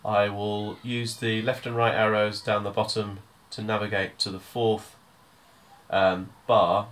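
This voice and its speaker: Male tutor, lower register, informal step-by-step software walkthrough with a hesitant, thinking-as-he-goes delivery. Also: level tone, measured pace. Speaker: music tutor.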